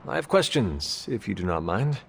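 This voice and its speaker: An actor playing a solemn, polite supernatural hero in a video game, speaking formally and with restraint. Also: male voice, fairly low pitch, sing-song, moderate pace, creaky, slight background noise. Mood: earnest, curious. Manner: formal, avoids contractions, restrained. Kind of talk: video game cutscene acting.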